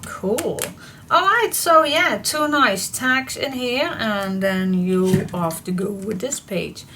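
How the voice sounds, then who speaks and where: A woman with a slightly hoarse, froggy voice talks through a papercraft project at an unhurried, easy pace. She chats loosely and half to herself as she works. A steady hiss of noise sits clearly under the recording. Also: medium pitch. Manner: chatty, slow and relaxed, talks to herself while she works. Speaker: papercraft tutorial host. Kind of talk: casual crafting tutorial talk.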